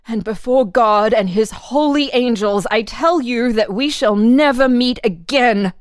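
A resolute young village girl, played by a woman, speaks with solemn, theatrical earnestness. Her voice is pitched high in melodrama style, recorded dry. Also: measured pace, clear, resonant tone, no echo, close to an everyday voice. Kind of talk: Victorian melodrama dramatic reading.

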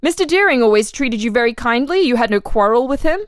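A woman's voice: on the high side, lively intonation, fast talker, clean tone, no echo, clean studio sound, lightly acted. A woman playing an official interrogator who puts formal, leading questions in a dramatic reading of a transcript. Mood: probing, formal. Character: probing, insistent official interrogator questioning a murder suspect.

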